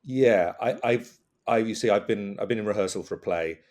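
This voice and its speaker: A man, a comedian guesting on a podcast, chatting casually in a halting comic way with repeated words. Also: on the low side, darkish tone. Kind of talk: casual comic panel chat.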